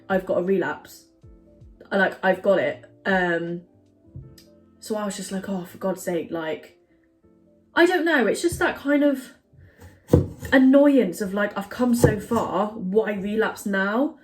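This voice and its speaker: A woman's voice: mid-range voice, darkish tone. A young woman vlogging in her home kitchen, chatting casually and rambling with lots of fillers. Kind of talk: casual chat to camera.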